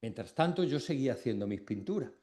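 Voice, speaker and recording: A man's voice: medium pitch, animated, mellow. Reflective Spanish man giving formal speech. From Extremadura, Spain. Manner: reflective, with pauses between phrases. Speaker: academic giving an induction speech.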